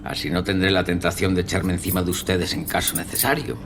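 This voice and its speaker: An actor with a Castilian Spanish accent playing a cocky, calm, menacing imprisoned killer in a radio drama, smug and falsely courteous. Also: male voice, deep voice, quick pace. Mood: polite menace.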